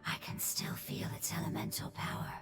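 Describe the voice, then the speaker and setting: An actress playing a calm, motherly spirit who consoles others gently in a game cutscene. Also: moderate pace, in a whisper, natural voice. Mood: consoling. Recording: echoey voice over loud game music.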